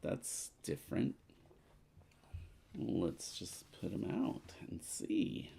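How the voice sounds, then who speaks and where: A man's voice: sits low, wildly swooping pitch, extremely slow, vocal fry, mellow. A man chatting casually as co-host of a board game playthrough, deliberating hesitantly as he counts. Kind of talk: casual chat while playing a board game.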